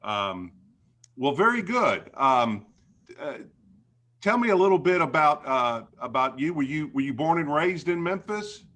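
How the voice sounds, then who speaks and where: A man's voice: on the high side, sing-song, measured pace, fairly bright tone. A man hosting a podcast interview, asking questions with a hesitant delivery full of ums and uhs. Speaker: podcast host.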